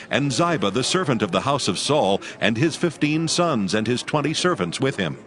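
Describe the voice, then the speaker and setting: Low-voiced, expressive male scripture narrator.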